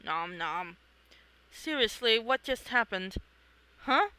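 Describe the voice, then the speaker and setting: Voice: medium pitch, animated, takes it slowly, sharp tone, clear, resonant tone, no echo. A person reading a comic aloud, acting out the characters in a theatrical, exclamatory way. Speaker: reader of own comic. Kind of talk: animated read-along of a comic, acting out characters.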